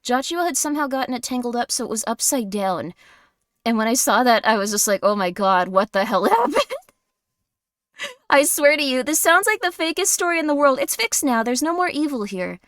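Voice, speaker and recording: A tired woman streaming a game, chatting casually in a slightly raspy voice, rambling with many fillers. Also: high voice, lively intonation, fairly quick, a bit bright, dry recording, clean studio sound. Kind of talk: casual chat while playing a game.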